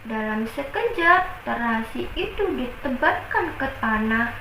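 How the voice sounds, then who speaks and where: A young woman student tells a folk tale with dramatic emphasis and drawn-out exclamations of wonder. Her high voice moves slowly and swings widely. The room is echoey, with faint noise behind. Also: very animated, bright, forceful tone. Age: young adult. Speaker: student storyteller. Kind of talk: animated folk tale storytelling.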